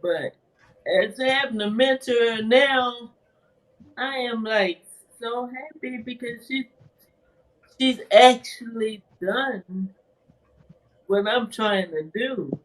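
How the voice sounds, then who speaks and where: A woman with a naturally soft voice answers interview questions in a slow, hesitant way, restarting her sentences and laughing often. She speaks at an unhurried pace. Her voice sounds distant, as if she is sitting well back from the microphone. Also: a little high. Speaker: interviewee, author. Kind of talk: casual conversational interview. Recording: voice from far off the mic.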